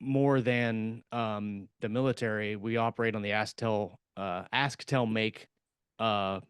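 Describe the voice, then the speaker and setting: A man's voice: on the low side, fairly even intonation, a little slow, clean tone, no room sound, clean studio sound. A middle-aged man co-hosting a podcast, talking in a reflective, thinking-aloud way. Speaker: podcast co-host and author. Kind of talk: casual chat.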